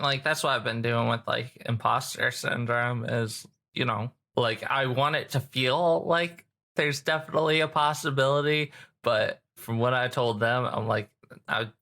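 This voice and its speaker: A man's voice: medium pitch, vocal fry, pushed and bright. A man chatting casually as a player on a podcast, with a wry, teasing manner. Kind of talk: casual chat.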